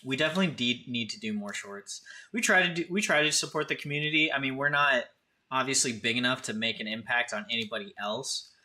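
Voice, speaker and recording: Very bright-voiced man chatting casually. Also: mid-range voice, fast. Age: 30s. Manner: informal, conversational, addresses chatters directly. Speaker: live streamer, host. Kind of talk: casual livestream chat with viewers.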